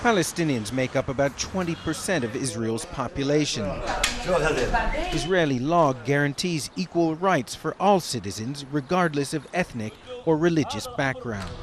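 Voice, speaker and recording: A man narrating a news report as correspondent, with a measured, even broadcast delivery. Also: mid-range voice.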